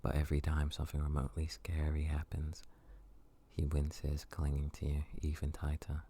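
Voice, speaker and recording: A man softly narrating an intimate story as an ASMR narrator. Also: extremely low pitch, completely flat delivery, moderate pace, breathy edge.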